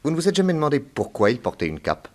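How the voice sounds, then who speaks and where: An actor playing a shrewd, commanding detective in a crime radio drama, authoritative with a wry, knowing manner. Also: male voice, medium pitch, huge pitch swings, fast. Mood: coolly ironic. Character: shrewd, commanding male detective, coolly ironic.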